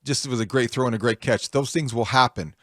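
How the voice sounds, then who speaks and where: A man's voice: medium pitch, fast talker, no echo, clean studio sound. A man hosting a sports radio show, laying out analysis and putting questions to a guest. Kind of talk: interview questioning with game analysis.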